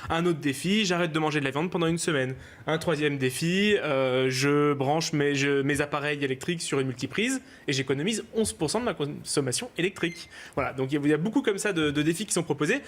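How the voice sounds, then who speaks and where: A man with a slightly high voice explains something at a very quick pace as a talk show guest. He runs through example after example in an explanatory tone. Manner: brisk, explanatory, listing examples. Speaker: app creator, guest.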